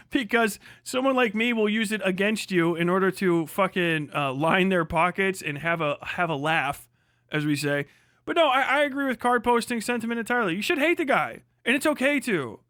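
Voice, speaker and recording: A man's voice: sits high, pushed and bright, no room sound, clean studio sound. A man from the United States giving a casual, profane opinion rant as a livestream host, blunt, irreverent and emphatic.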